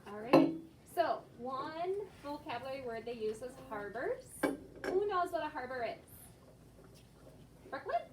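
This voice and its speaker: A high-pitched American woman teaches a class of children with simple explanations and questions. She sounds distant from the microphone in an echoey room, with noise around her. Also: lively intonation, unhurried, pauses often, crowd noise. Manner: simple explanations with prompting questions and brief praise. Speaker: elementary school teacher.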